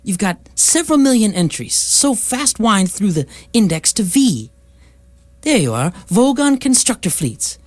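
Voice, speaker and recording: A woman's voice: mid-range voice, big pitch swings, a little slow, a little mellow, no room sound. A woman playing a breezy, unflappable galactic hitchhiker who explains things matter-of-factly in a radio comedy. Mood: breezy, explaining. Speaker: radio drama actor.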